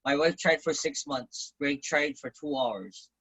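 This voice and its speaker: A man's voice: fairly high pitch, a little slow, fairly bright tone, breathy edge, rough recording. A man in his forties, a business owner and event emcee, telling his story in a casual interview and acting out quoted lines in a raised voice. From Hawaii.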